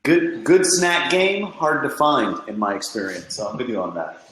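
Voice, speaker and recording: A man's voice: medium pitch, moderate pace, fairly bright tone. A man hosting an interview casually, with informal banter and questions for his guests. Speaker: podcast host, interviewer. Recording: very echoey room, clear background noise.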